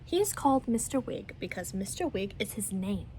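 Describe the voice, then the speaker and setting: A woman voicing a prim, brisk, proper magical nanny in a storybook reading. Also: medium pitch, very animated, mellow, a little hiss or hum, natural voice, poor audio. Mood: matter-of-fact.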